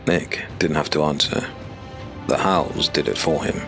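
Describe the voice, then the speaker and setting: A man narrating a scripted dark fantasy story as an audiobook narrator. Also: very deep voice, a little slow, creaky, music in the background.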